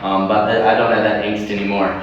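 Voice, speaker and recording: Animated man in an echoey room. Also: fairly low pitch, fast talker, far from the mic, muffled recording. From United States. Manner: animated, laughing, many restarts. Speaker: sports club leader and guest. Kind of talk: livestream interview. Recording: echoey room from afar, faint noise.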